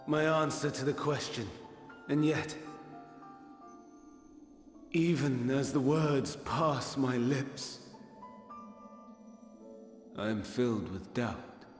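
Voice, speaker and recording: Weary doom-preaching game villain, slow n solemn, big echoey reverb, music behind. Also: male voice, medium pitch. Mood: anguished, condemning, then doubtful, weary. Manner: slow, solemn, theatrical delivery. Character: despairing male nihilist villain, weary, grandiose, doubting. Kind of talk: dramatic video game monologue.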